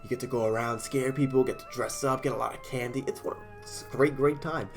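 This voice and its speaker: A man's voice: medium pitch, steady tone, fast, creaky, soft background music. A man with a stuffy cold, casually reading horror stories aloud in a chatty, self-deprecating way and sometimes stumbling over words. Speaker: storyteller.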